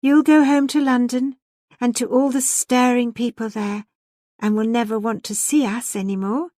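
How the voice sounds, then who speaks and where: A woman's voice: fairly high pitch, fairly even intonation, moderate pace, warm tone, no echo, pitched above the performer's own voice, close to an everyday voice. A woman voicing a proud, coaxing young lady in a novel, with a petulant, importunate manner. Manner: importunate, emotional pleading.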